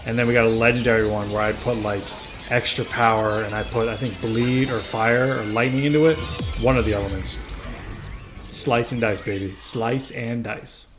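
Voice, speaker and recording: A man's voice: mid-range voice, fairly even intonation, normal speed, over music. A man casually commentating over his gameplay, rambling and chatty with lots of fillers. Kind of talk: casual let's-play commentary.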